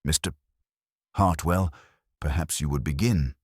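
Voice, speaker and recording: A man voicing a shrewd, courteous police inspector in an audiobook mystery, conversational, gentle, formal and unhurried. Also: rumbling low, slightly rough, dry recording, studio quality, heavily acted. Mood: politely probing. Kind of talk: audiobook mystery character reading.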